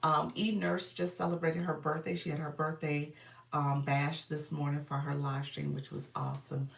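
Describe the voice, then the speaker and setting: A woman's voice: deep voice, flat delivery, normal speed, vocal fry, recorded from a distance. A middle-aged woman with a congested voice, chatting casually on a live stream, greeting viewers by name in an upbeat way. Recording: roomy sound, from off the mic.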